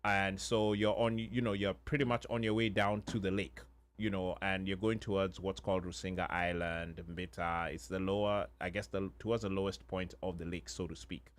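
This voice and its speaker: A man, a co-host telling a story with many pauses and fillers. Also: low voice, steady tone. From Kenya. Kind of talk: casual conversation.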